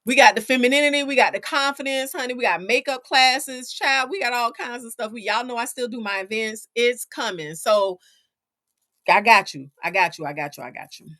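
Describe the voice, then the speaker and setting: A woman's voice: fairly high pitch, fairly quick, bright, forceful tone, dry recording, clean studio sound. A woman in her forties co-hosting a podcast, talking playfully and calling listeners honey and child as she reads the live chat. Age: 40s. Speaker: podcast co-host. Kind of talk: casual chat, reading live chat comments.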